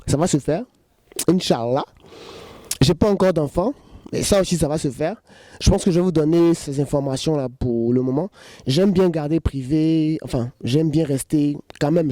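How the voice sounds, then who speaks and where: Relaxed man in his thirties, joking. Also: a little high, no room sound, studio quality. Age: 30s. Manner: relaxed, joking, repeats "voilà" often. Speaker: choreographer. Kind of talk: interview answers to camera.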